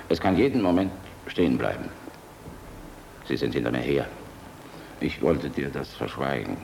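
A world-weary military flier in a German radio drama, with a low voice full of gravel. He speaks with wry intimacy and tenderness, like someone hunted. There is some hiss on the old recording. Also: a little mellow, full character voice, poor audio. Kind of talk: German radio play acting.

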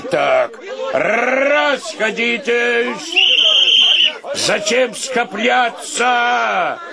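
An actor playing a pompous, officious, slow-witted old-time policeman in a radio play, drawing out his official commands. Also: extremely high pitch, animated, creaky, a loud crowd, full character voice. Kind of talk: Russian radio play acting.

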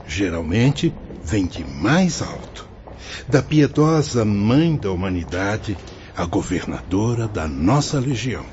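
A man's voice: on the low side, big pitch swings, takes it slowly, darkish tone, lo-fi sound, slight background noise. A man acting a wise, fatherly spirit mentor in a radio soap opera, speaking in a solemn, didactic, formal manner. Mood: patient, explaining. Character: wise, fatherly male spirit mentor explaining afterlife rules.

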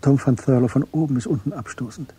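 A man's voice: mid-range voice, fast talker, mellow, breathy edge. A man reading scripted literary narration as the narrator of a German radio drama.

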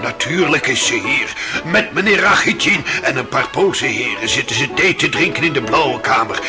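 An actor playing a fussy, obsequious Russian innkeeper in a radio play, hesitant and deferential. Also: male voice, mid-range voice, animated, moderate pace, music in the background, close to an everyday voice. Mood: fussing, servile. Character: Trifon, fussy, servile male Russian innkeeper. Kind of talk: dramatic radio play acting.

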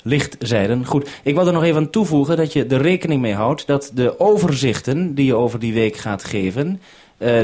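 A Dutch radio producer speaking on a radio link, calm and orderly, repeating notes back before signing off. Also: male voice, medium pitch. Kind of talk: radio call, businesslike. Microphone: radio transceiver.

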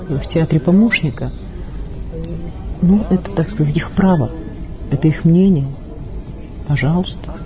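A woman theatre director reflects aloud in an interview. Her voice is mellow and a little dark, and she states opinions emphatically, phrase by phrase. Music sits faintly behind her. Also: low voice.

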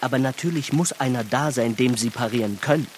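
Actor as self-satisfied male bourgeois businessman, radio play. Mid-pitched, sardonic, lecturing, over faint rain-like noise. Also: brisk, darkish tone, lightly acted. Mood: mocking, lecturing. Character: smug, talkative bourgeois Berlin businessman, conservative and self-satisfied. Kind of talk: radio drama acting.

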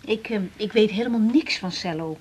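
A woman acting in a 1980s Dutch radio drama as a young doctor, halting, polite but candid, with a Netherlands Dutch accent. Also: mid-range voice, darkish tone, a little hiss or hum, close to an everyday voice. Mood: admiring, shy.

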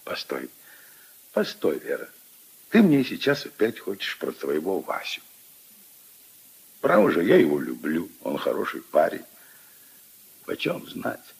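A deep-voiced old grandfather in a 1950s radio play, slow and expressive. He tells his tales of love intimately and emphatically, wistful and full of conviction. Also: creaky, husky, full character voice. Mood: gentle, earnest. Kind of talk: 1950s radio drama acting.

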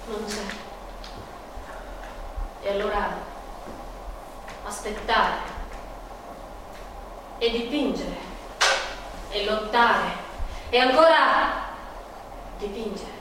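Casting: actress as passionate, suffering female painter, high voice, theatrical radio drama delivery, distant and very echoey. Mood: resigned, sorrowful. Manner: dramatic, swinging between hushed murmurs and cries. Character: Frida Kahlo, anguished, defiant, passionate woman painter in pain. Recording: echoey, distant, noisy stage recording.

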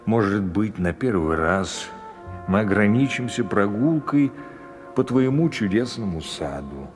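A man's voice: lowish voice, expressive, measured pace, faint music behind. A man playing a cautious, deferential ageing vizier, pleading and obsequious in a fairy-tale audio play. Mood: humble, cautious.

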